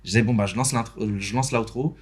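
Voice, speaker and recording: A young man from Benin talks about his work in a relaxed, halting way, stopping often to restart his sentences. His voice sits a little low. He sounds like a guest chatting on a podcast. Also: a crowd in the background. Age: young adult. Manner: hesitant, many fillers and restarts. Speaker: podcast guest, interview host and motion designer. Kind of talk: casual chat. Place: podcast studio.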